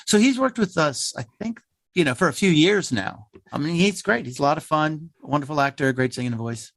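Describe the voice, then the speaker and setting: A man's voice: a little high, expressive, a little fast, creaky, a bit bright, dry recording, studio quality. A man who works as a playwright in a theater troupe, explaining things casually in an interview.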